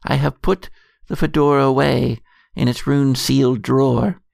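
A heavily creaky, gravelly storyteller played by a man, weary and secretive, resigned to doom. He narrates a dark audio drama in measured, portentous phrases, recorded close and dry. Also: medium pitch, fairly even intonation, dry recording. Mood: rueful. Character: weary secretive keeper of lore, doomed and resigned.

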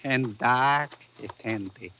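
A man's voice: mid-range voice, slow pace, a little hiss or hum, close to an everyday voice. An actor playing a coolly menacing, formally polite gunman and interrogator in an old radio drama. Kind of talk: 1940s-50s radio drama acting. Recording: dull vintage radio recording.

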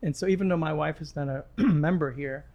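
A man's voice: on the high side, brisk, lo-fi sound. A man in his sixties from Lebanon, chatting casually as a guest with friendly, rambling talk and many restarts. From Middle East, Lebanon. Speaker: gym client and guest. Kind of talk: casual chat.

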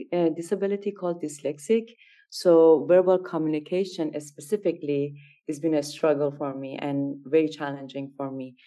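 Low, soft-spoken Iranian-born woman. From Iran. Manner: hesitant, searching for words in a second language. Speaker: guest fashion designer. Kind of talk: interview answers.

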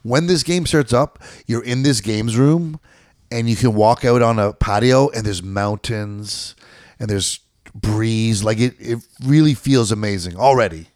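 A man co-hosting a podcast in casual chat, goofy and quick to laugh. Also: lowish voice, darkish tone, no echo, clean studio sound. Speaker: podcast co-host.

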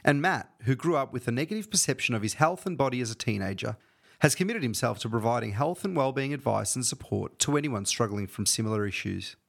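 A man's voice: mid-range voice, animated, fast talker, vocal fry, a bit bright. A man hosting a podcast, reading a scripted introduction and then asking friendly, short questions. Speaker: podcast host.

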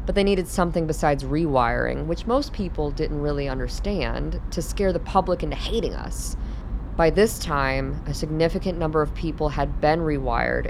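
A woman playing a world-weary, bitter older radical doctor in a radio drama, explaining things in a measured, cynical way over a low background rumble. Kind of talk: scripted radio drama dialogue.

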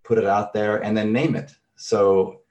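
A man's voice: on the low side, flat delivery, a little slow, darkish tone, muffled recording, a little hiss or hum. A middle-aged man, a therapist, explaining concepts in a thoughtful, conversational way with everyday examples.

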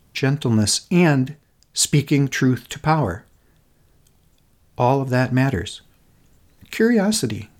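A middle-aged man reads a reflective personal essay. His mid-pitched voice moves through a lively range of intonation. He is earnest and contemplative, setting out short, deliberate phrases like a thoughtful podcast host. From Minnesota, United States.

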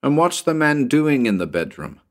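A man's voice: medium pitch, sing-song, moderate pace, pitched above the performer's own voice. A man voicing an easygoing, wry male companion in a storybook, with a casual, teasing manner. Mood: teasing, skeptical.

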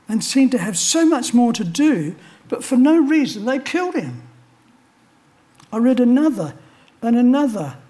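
A man's voice: sits high, a little mellow. A man, preaching a chapel sermon and telling a personal story with dry humorous asides. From Australia. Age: 70s.

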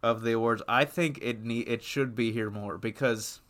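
A man co-hosting a gaming podcast, chatting casually in an opinionated, halting way as he rephrases his thoughts. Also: mid-range voice, steady tone, a bit bright. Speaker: podcast co-host.

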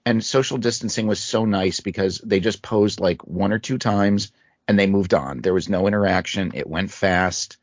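A man with a very low voice co-hosts a casual podcast. He talks relaxed and acts out little scenes. The sound is dry and slightly dull. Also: moderate pace, no room sound. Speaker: podcast co-host. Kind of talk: casual chat.

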